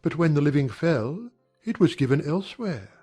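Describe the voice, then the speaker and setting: An actor playing an aggrieved young gentleman in a dramatized audiobook, speaking in formal, measured, earnest period language. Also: on the high side, very animated, slightly rough, soft background music. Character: young gentleman airing polite, wounded grievances. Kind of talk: dramatized audiobook character acting.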